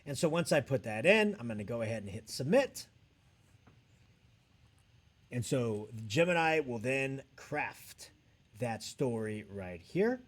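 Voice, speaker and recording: A man presenting a step-by-step software tutorial as a trainer, talking over a screen recording. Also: medium pitch, very animated, measured pace, pauses often.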